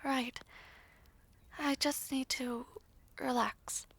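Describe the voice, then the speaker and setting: A woman playing a shy, anxious young fiancée in a fantasy audio roleplay, speaking hesitantly and softly with formal politeness. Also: high voice, fairly even intonation, extremely slow, gravelly, husky, exaggerated voice. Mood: lost, uncertain.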